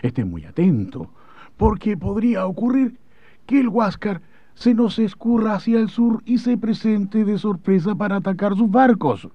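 An actor playing a grave, courteous Chilean naval admiral in a formal, declamatory historical radio drama. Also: male voice, sits high, expressive, normal speed, no echo. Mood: grave, cautioning. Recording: dull old radio drama recording.

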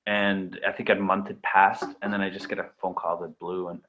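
A Canadian-raised actor whose first language was German, chatting casually in an interview with lots of fillers and restarts. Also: male voice, low-pitched, brisk, muffled recording, a little hiss or hum. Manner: relaxed, rambling with many fillers and restarts.

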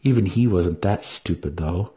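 A man's voice: low voice, moderate pace, warm tone. A man giving a dramatic reading of fantasy fiction as an audiobook narrator. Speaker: audiobook reader.

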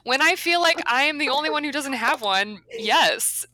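Forceful low-voiced woman, candid chat. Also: expressive, normal speed, dry recording. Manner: candid, rambling, many fillers. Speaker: podcast co-host. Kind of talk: casual chat.

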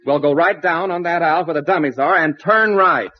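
An actor playing a fussy, exasperated department store floorwalker, prissy and theatrical, in a 1940s radio comedy. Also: male voice, fairly high pitch, brisk, close to an everyday voice. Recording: noisy, muffled 1940s radio broadcast.

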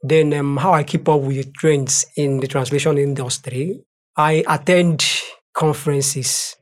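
A man's voice: on the high side, steady tone, measured pace. A man from Nigeria with a Nigerian accent, a native speaker of Igbo and Nigerian Pidgin, answering interview questions as a translator and repeating points for emphasis. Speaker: translator, podcast guest.

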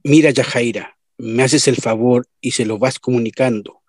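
Un actor de radionovela que interpreta a un amante venezolano despechado e insistente, melodramático, con acento venezolano. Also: male voice, medium pitch, fairly even intonation, normal speed, dry recording, studio quality, lightly acted. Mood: stern. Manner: earnest, melodramatic. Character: spurned, insistent lover demanding the truth. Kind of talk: radio soap opera acting.

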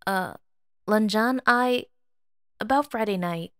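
A woman's voice: medium pitch, takes it slowly, smooth, natural voice. A woman voicing a flustered, playful teenage schoolboy with hesitant bravado, in an audio drama story reading. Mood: nervous.

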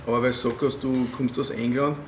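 A middle-aged man with an Austrian accent, interviewing a guest in a hesitant, measured way with many fillers. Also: mid-range voice, flat delivery, echoey room, a little hiss or hum. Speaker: interviewer and music booker. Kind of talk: casual interview chat.

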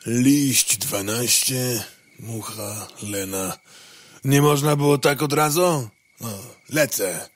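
A man's voice: mid-range voice, takes it slowly, no echo. A man playing a rude, impatient insect courier in an audio drama, blunt and surly.

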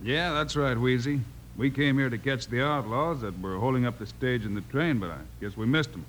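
Casting: male actor as assured frontier marshal, medium pitch, calm plain talk, faintly noisy vintage radio sound. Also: a little fast, close to an everyday voice. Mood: matter-of-fact. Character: steady, shrewd Western lawman hero, grown man. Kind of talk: old-time radio Western acting.

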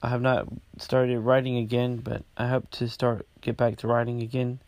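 A man hosting his own podcast, talking casually about his week, congested and halting with many restarts. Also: medium pitch, level tone, a little mellow, no echo. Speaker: podcast host. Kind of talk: casual personal update.

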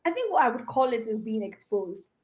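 A woman's voice: a little high, sing-song, fairly quick, a little mellow, dull audio. A woman from Ghana with an American accent, a musician chatting casually and hesitantly as a podcast co-host. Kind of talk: casual conversation.

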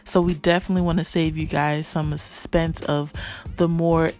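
A woman's voice: deep voice, level tone, normal speed, darkish tone. Young woman podcast host, music behind. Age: early 20s. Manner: chatty, slangy, informal. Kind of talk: casual panel chat and podcast hosting.

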